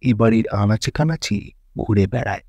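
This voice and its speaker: A man's voice: lowish voice, normal speed, lo-fi sound, over loud music. A man reading a horror story as dramatic scripted narration.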